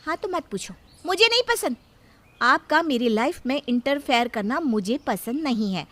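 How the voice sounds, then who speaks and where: A woman's voice: sits high, expressive, moderate pace, fairly bright tone, pitched above the performer's own voice. A woman voicing a rude, impatient young sister-in-law in a moral story, scolding her elder. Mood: angry, defensive. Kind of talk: Hindi moral story character dialogue.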